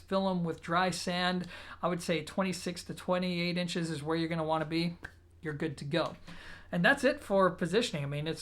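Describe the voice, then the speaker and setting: Man explaining in a steady, relaxed voice. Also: a little high. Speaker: audio equipment reviewer. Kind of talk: scripted product review.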